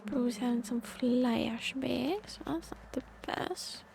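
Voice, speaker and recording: A woman's voice: a little high, slow pace, creaky, a little mellow, slight background noise. A woman game streamer giving casual, playful, sweary commentary on a playthrough.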